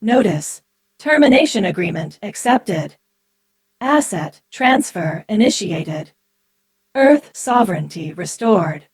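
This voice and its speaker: Woman voicing a slow, impassive alien AI. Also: mid-range voice, lively intonation, a little mellow, husky, voice pushed up. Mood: procedural, impassive. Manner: clipped, procedural announcements. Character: formal, impassive female alien artificial intelligence.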